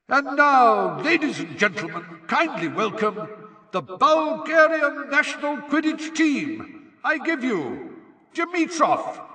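A man voicing a booming, excitable sports announcer in a storybook, calling out in a showmanlike, drawn-out shout. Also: echoey room, in a large hall, full character voice. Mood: grand, excited. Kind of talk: theatrical live match commentary.

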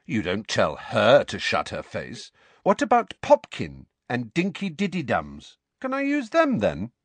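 A man's voice: fairly low pitch, expressive, measured pace, fairly bright tone, no room sound, heavily acted. A man voicing a sullen, mocking teenage boy who goads his cousin in an audiobook. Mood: taunting. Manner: taunting, sarcastic.

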